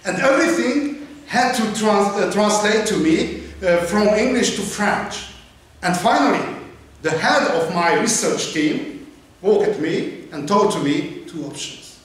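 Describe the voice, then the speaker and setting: A man in his fifties with a Hungarian accent, giving a university lecture in halting English full of fillers, heard from a camera at the back of a large lecture hall. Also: high-pitched, lively intonation, a bit bright. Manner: halting non-native English with many uh fillers, gesturing while pacing. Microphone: far camera. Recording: echoey lecture hall, distant mic.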